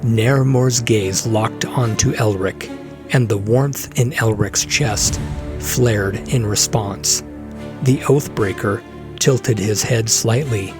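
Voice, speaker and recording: A man's voice: fairly low pitch, slow pace. A man reading scripted, dramatic fantasy narration as the storyteller of an audio drama. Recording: loud dramatic music behind.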